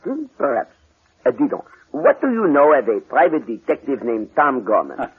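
An actor playing a courteous, shrewd detective with a French accent and a theatrical, inquisitive manner, in old radio drama style. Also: male voice, mid-range voice, wildly swooping pitch, moderate pace, breathy edge, phone-quality sound, heavily acted. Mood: shrewd, pondering. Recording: thin, band-limited old radio recording.

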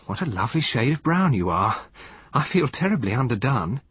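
A man's voice: mid-range voice, very animated, a little fast, fairly bright tone, breathy edge, no echo, lo-fi sound, pitched above the performer's own voice. A man voicing a timid, chirpy, eagerly polite young wife in a storybook reading. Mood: polite, grateful. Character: small mousy, diffident, eagerly agreeable young wife.

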